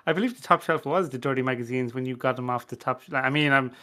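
A man's voice: medium pitch, quick pace, crowd noise, close to an everyday voice. A man playing a flustered, bumbling junior detective in an unscripted comedy radio play, stammering, hesitant and apologetic. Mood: hesitant, confused. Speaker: podcast panellist.

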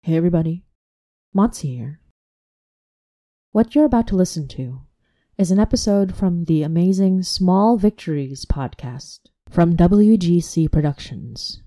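A woman's voice: on the low side, animated, unhurried, dark tone. A woman podcast host reading a scripted introduction to an episode.

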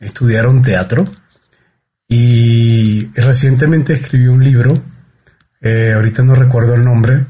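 Man hosting with a dark, husky voice. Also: mid-range voice, steady tone, measured pace. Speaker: podcast host. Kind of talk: reading an introduction, then chatting.

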